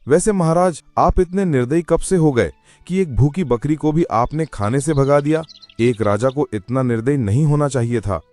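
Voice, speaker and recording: A man voicing a wise old male bear elder in a Hindi cartoon, calm and fatherly. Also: medium pitch, normal speed, faint music behind, lightly acted. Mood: reproachful. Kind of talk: cartoon voice acting.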